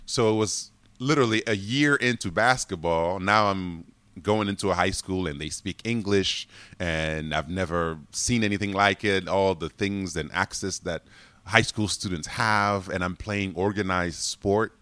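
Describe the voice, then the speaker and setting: A man's voice: deep voice, measured pace, pushed and bright, no room sound. A man in his forties from Congo, speaking English, animatedly telling stories in an interview and often laughing. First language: French. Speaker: guest. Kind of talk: casual interview storytelling.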